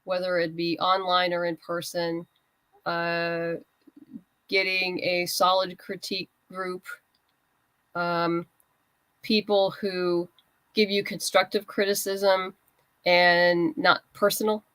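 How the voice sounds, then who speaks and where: A woman's voice: fairly low pitch, flat delivery, slow pace, pauses often, a bit bright, smooth, no echo, poor audio. A woman writer chatting as an interview guest, candid and conversational, explaining things in loose fragments. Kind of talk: casual interview chat.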